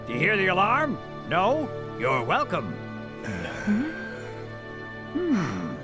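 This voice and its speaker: An actor playing a smug, theatrical mayor in a video game, with a pompous, sly, drawn-out delivery. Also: male voice, high voice, wildly swooping pitch, music in the background, full character voice. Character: theatrical, smug male mayor with secret loyalties. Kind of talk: dramatic video game acting.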